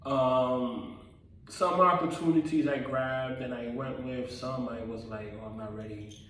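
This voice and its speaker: A man's voice: mid-range voice, fairly even intonation, unhurried, fairly bright tone, recorded from a distance, slight background noise. Earnest man in a very echoey room. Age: 30s. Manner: earnest, repetitive, with frequent ums and self-corrections. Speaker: vlogger. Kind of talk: personal testimony vlog. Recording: very echoey room, voice away from the mic.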